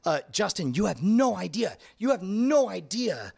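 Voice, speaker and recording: Giggly, lively-pitched male co-host. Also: moderate pace, gravelly, husky. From Texas. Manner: giggly, interjecting, rambling. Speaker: podcast co-host. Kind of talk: comedic banter. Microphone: headset monitors.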